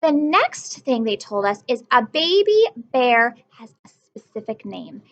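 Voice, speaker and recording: A woman's voice: fairly high pitch, very animated, unhurried, dull audio. A woman teacher reading a picture book aloud to young children, stopping often to ask them questions and praise them. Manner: encouraging, asks children questions.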